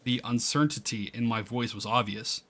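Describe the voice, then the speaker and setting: Man playing a high school boy, sleepy, flustered, hesitant, bright voice, visual novel. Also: normal speed, close to an everyday voice. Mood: subdued, embarrassed. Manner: hesitant, self-conscious. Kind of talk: visual novel read-aloud.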